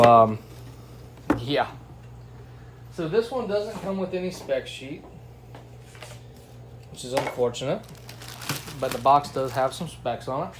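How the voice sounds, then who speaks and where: A man hosts a casual unboxing and test video in a mid-range voice. He talks in a slangy, folksy, enthusiastic way. The sound comes from a phone microphone with light noise behind him. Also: mid-range voice. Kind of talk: casual unboxing review chat. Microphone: phone's built-in microphone. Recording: noisy phone camera recording.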